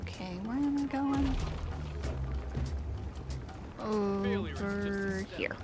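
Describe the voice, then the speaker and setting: A woman's voice: medium pitch, extremely slow. A woman giving casual commentary as she streams a video game. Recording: game music behind the voice.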